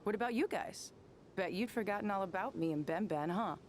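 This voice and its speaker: A woman's voice: mid-range voice, fairly quick, noisy background, lightly acted. A woman playing a confident, wry young desert adventurer in a video game, with a breezy, casual manner. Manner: breezy, casual, self-assured. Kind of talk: video game character acting.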